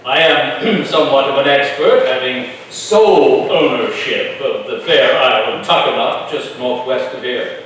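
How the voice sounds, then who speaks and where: A man's voice: mid-range voice, a little slow, a bit bright, natural voice. Man acting pompous landowner in echoing hall. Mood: haughty, boastful. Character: pompous, self-important colonial landowner man. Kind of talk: staged reading of a historical play. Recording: echoey hall from far off, faint noise.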